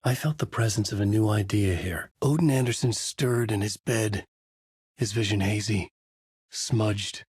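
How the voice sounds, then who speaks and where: Haunted writer, low husky voice, grave noir horror narration, measured and dramatic, video game, close dry sound. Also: male voice, a little mellow, dry recording. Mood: haunted, intent. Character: brooding, haunted male novelist narrating his horror story. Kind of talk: scripted noir horror narration, inner monologue.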